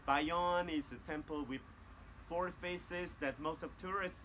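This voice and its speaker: Cambodian guy giving a temple tour in simple english, talks slow, bright tone, a little outdoor noise behind. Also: a little high. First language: Khmer. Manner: slow, careful non-native English with simple grammar. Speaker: tour guide. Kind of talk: guided tour explanation to camera. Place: outdoors among jungle temple ruins.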